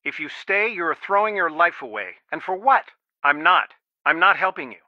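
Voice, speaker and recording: A man's voice: fairly high pitch, big pitch swings, normal speed, dry recording, dull audio. A man voicing a stern, worried middle-aged father and lawyer in a video game, earnest, firm and protective. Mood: angry, firm. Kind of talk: video game voice acting, one side of phone conversations.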